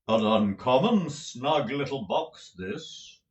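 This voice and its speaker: A man voicing a pompous male tragedian actor who declaims in a deep, theatrical manner. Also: animated, slow, lo-fi sound. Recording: roomy echo.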